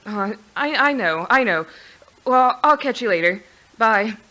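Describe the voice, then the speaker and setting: A woman's voice: fairly high pitch, animated, unhurried, sharp tone, poor audio. A woman playing a devoted, plain-spoken mother in a radio play, homely and conversational. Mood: fond, wistful.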